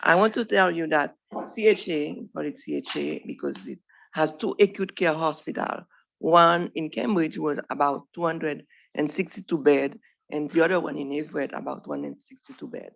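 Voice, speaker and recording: A woman's voice: deep voice, normal speed, creaky, poor audio. A woman from Haiti with a Haitian accent, a primary care doctor giving an earnest presentation to trainees. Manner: earnest, plain speech with small grammar slips. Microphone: podium microphone.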